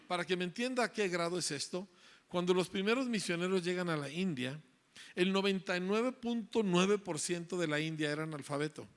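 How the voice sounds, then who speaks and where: A man giving an emphatic lecture to parents and teachers, driving points home with repeated phrases and tag questions. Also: a little high. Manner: emphatic, repetitive rhetorical lecturing with frequent "¿verdad?".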